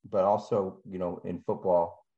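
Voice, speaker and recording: A man's voice: low voice, level tone, normal speed, warm tone. Man asking rambling questions, dull sound. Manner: rambling, hedging questions with "kind of" and "you know". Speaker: podcast co-host. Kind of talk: podcast interview.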